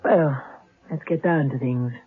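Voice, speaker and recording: A woman's voice: extremely low pitch, a little slow, slightly rough, heavily acted. An actress playing a flirtatious, teasing woman in a 1970s radio play. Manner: teasing and seductive. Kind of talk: 1970s radio drama acting. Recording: tinny lo-fi old radio broadcast.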